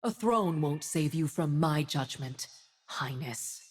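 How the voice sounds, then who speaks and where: A woman's voice: deep voice, expressive, slow, husky, heavily acted. A woman playing a proud, righteous winged warrior and judge in a video game, with a solemn, commanding, haughty delivery. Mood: stern judgment. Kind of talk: dramatic video game voice acting.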